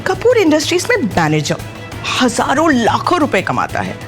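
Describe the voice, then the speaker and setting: A woman's voice: high-pitched, huge pitch swings, normal speed, faint music behind. A woman playing a haughty, boastful middle-aged aunt in an audio drama, with a snide, theatrical, taunting manner. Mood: smug, mocking. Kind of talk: Hindi audio drama acting.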